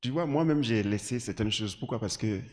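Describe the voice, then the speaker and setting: A man preaching an animated sermon in French that is not his first language, acting out scenes with sound effects. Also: fairly low pitch, fairly quick. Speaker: pastor. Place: large church hall. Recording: echoey church hall.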